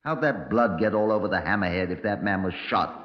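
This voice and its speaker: An actor playing a brusque, sardonic Scotland Yard chief inspector in a 1950s radio crime drama. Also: male voice, lowish voice, a little fast, over loud music, close to an everyday voice. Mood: brusque, impatient. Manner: brusque, dry, sarcastic. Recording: dull, lo-fi 1950s radio recording.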